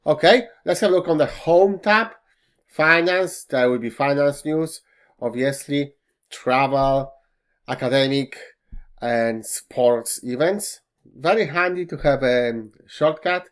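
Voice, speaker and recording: Slow, hesitant man demoing software. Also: fairly high pitch. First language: Polish. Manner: hesitant, many fillers, non-native phrasing. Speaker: tech tutorial host. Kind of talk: screen-recorded software walkthrough.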